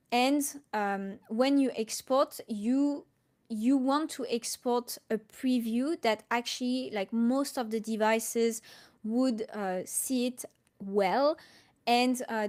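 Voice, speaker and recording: A woman from France with a French accent, answering questions casually on a live stream and haltingly thinking aloud as she goes. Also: fairly high pitch, a little mellow. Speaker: colorist hosting a live Q&A.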